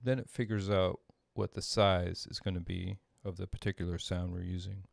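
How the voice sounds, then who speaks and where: A middle-aged man giving an informal tutorial at his desk, thinking aloud in a halting way as he explains. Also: low voice, fairly even intonation, gravelly, no room sound. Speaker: composer demonstrating audio programming. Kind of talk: informal screen-share tutorial. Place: small indoor room at a desk.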